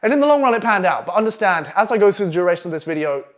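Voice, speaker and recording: Brash, fast-talking Essex man. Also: high voice, big pitch swings. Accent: Essex English. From England. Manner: brash, profane, pushy. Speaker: copywriting coach. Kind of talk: online masterclass presentation. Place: London flat.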